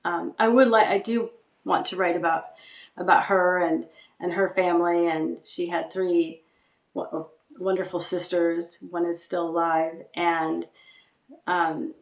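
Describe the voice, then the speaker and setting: A woman guest speaking reflectively about her writing and family history, often pausing and restarting. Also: on the low side, little pitch movement, vocal fry, reverberant, rough recording. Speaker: guest writer. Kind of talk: casual interview chat.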